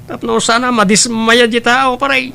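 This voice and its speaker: A man puts on a frank, teasing male friend in an Ilocano radio drama. The voice sits very high for a man. He speaks with an Ilocano accent and sounds opinionated, handing out advice. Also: level tone, unhurried, gravelly, loud music behind the voice, heavily acted. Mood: teasing, advising. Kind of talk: Ilocano radio-style family drama acting.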